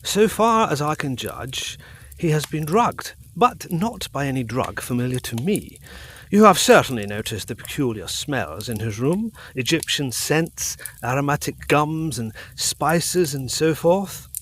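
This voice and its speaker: An earnest Edwardian physician in a gothic audio drama. His voice sits fairly high and swings widely in pitch. He speaks frankly and with authority, in formal, emphatic phrases. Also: sing-song, a bit bright, breathy edge, heavily acted. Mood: grave, assured. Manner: formal, emphatic, deliberate diction.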